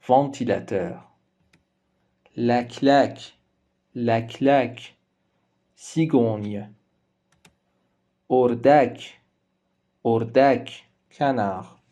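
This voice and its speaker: A man's voice: mid-range voice, dark tone. A man whose native language is Persian, teaching a language lesson in French. He says each example word twice with care before giving its meaning. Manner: slow and careful, repeating each word twice before translating it.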